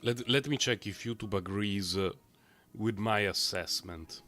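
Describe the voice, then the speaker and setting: A man livestreaming a game, talking in a rambling, chatty way full of fillers, his voice a little low and rough from a recent cold. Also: measured pace, sharp tone, faint music behind. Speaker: game streamer. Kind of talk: casual live-stream gameplay commentary.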